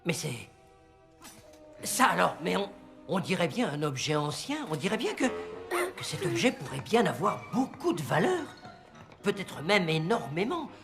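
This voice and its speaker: A man's voice: high-pitched, wildly swooping pitch, measured pace, vocal fry, over music, exaggerated voice. A man playing a middle-aged, exasperated and distrustful father in a cartoon, with a theatrical, scolding manner. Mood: intrigued. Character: exasperated, distrustful father who runs a museum. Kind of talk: animated cartoon dubbing.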